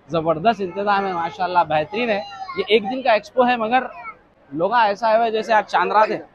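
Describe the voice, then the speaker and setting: A man's voice: sits high, dull audio. A man in his thirties with a Hyderabadi accent, hosting a casual vlog at a crowded expo with a handheld mic, jokey and teasing. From Hyderabad, India. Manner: jokey, teasing, quick to laugh. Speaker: vlogger host. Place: crowded indoor expo hall and outdoor food stall.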